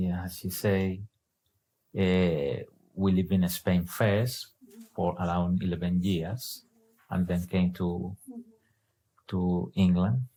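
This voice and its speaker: A man's voice: low voice, warm tone, slight background noise, rough recording. A man from Colombia, answering interview questions hesitantly with many filler sounds. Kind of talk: casual conversation.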